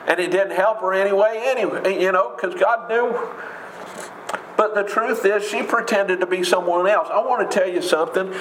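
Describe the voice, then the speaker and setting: A man with a big booming voice preaching a sermon in an emphatic, conversational way, with rhetorical questions. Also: moderate pace, a bit bright, a little hiss or hum. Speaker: pastor. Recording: echoey room with faint ambience.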